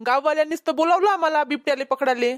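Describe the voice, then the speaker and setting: High-pitched officious rescue worker voiced by a woman. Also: racing, natural voice. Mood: suspicious. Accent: Vidarbha Marathi. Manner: questioning, instructing. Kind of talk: amateur cartoon comedy voice acting.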